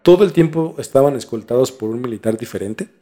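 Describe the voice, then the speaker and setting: A man with a Mexican accent in a casual, colloquial podcast conversation, explaining a story in a halting, conversational way. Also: medium pitch, animated, dark tone. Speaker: podcast host telling a case. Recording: music under the voice. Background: background music.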